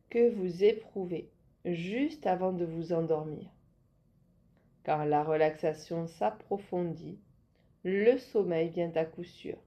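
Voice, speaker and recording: Slow, soft-toned woman guiding relaxation. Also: lowish voice. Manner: calm, measured instruction. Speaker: yoga nidra instructor. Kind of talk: guided relaxation meditation.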